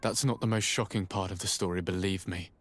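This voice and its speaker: An actor playing a loyal, resolute young diplomat in a video game, speaking formally and earnestly. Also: male voice, low voice, steady tone, brisk. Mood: grave recounting. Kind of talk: dramatic video game acting.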